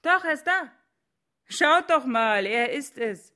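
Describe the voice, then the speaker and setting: An actress in a German radio play playing an excitable, insistent woman. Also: high voice, slow pace, full character voice. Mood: excited, insistent.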